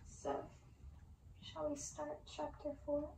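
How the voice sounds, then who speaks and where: A woman reading a story in ASMR style, with a slow, breathy, intimate delivery. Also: high-pitched, monotone, mellow, echoey room, rough recording.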